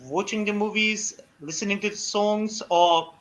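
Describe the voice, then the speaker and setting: A man hosting a live practice stream, speaking haltingly with many fillers as he welcomes and questions a guest. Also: high-pitched, fairly even intonation. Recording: dull lo-fi stream audio.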